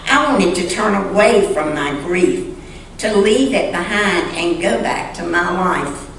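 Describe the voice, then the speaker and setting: A woman reading a story aloud dramatically and earnestly, with deliberate pauses, in a church sanctuary. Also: lowish voice, animated. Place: large indoor church sanctuary. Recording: echoey church sanctuary, distant mic, faint noise.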